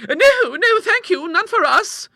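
A man's voice: very high-pitched, big pitch swings, brisk, sharp tone, dry recording, clean studio sound, pitched above the performer's own voice, full character voice. A man voicing a timid, fluttering elderly English spinster in a storybook, genteel, anxious and easily shocked. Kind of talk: storybook character voice in an audiobook.

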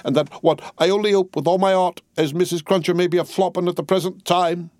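A man voicing a solemn, trembling male servant, who holds forth slowly as if from a pulpit. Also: high-pitched, fairly even intonation, darkish tone, dry recording, clean studio sound, voice pushed up, natural voice. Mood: preaching. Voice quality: ungrammatical working-class speech. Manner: slow, pulpit-like holding forth.

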